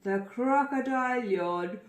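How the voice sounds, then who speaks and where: A woman's voice: a little high, slow pace, echoey room. An Irish woman reading a children's story aloud expressively as a storyteller. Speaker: library storyteller.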